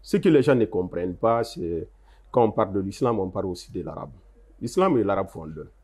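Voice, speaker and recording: A man's voice: low voice, sing-song, brisk, darkish tone, slightly rough. An older man, a translator, answering interview questions in an emphatic, explanatory way, with stressed rises and frequent restarts. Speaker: guest, Arabic translator.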